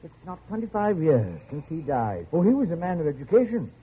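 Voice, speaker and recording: A lonely old jungle settler in a vintage radio play. His voice is soft and very husky, swinging widely in pitch as he speaks with halting, genial courtesy and quiet menace. The sound is muffled and narrow, like an old broadcast. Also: sing-song, full character voice. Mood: wistful, eager. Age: older. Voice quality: soft, chuckling. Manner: halting, gentle, repetitive. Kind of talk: 1950s radio drama acting.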